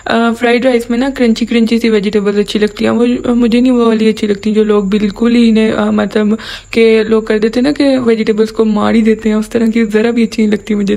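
A woman's voice: mid-range voice, vocal fry, a little mellow. A young woman, chatting casually through a home cooking voice-over. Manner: chatty, lots of filler "na", giggles. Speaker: cooking vlogger.

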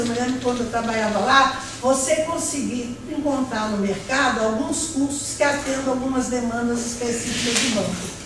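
High-voiced woman lecturing, echoey room. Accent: Brazilian Portuguese. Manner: explanatory, repeats and restarts phrases, checks in with "né?". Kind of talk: classroom lecture. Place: large classroom with a projector. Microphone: no mic, far camera. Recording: echoey room from far off, noisy.

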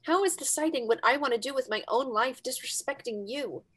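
Woman acting as high-voiced defiant young daughter. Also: brisk, a bit bright, husky. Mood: defiant, upset. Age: young adult. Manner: emotional, argumentative. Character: defiant grown daughter, young ballerina standing up to mother. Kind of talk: dramatic staged reading over video call. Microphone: video call at desk distance.

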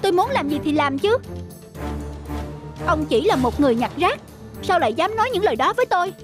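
An actress playing a proud young wife in a cartoon, speaking in an expressive, emphatic way. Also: female voice, very high-pitched, a little fast. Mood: indignant, haughty. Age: young adult. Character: haughty young wife, quick to scold, later sheepish. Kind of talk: cartoon voice acting. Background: faint music.

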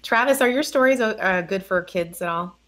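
A woman's voice: medium pitch, a little fast, a bit bright. A woman around forty chatting casually and informally on a video call. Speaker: guest. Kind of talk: casual chat.

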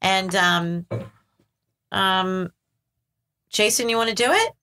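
A woman's voice: medium pitch, slow, sharp tone, a little hiss or hum. A woman hosting a literary podcast, upbeat and leading the conversation. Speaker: podcast host. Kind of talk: casual literary discussion.

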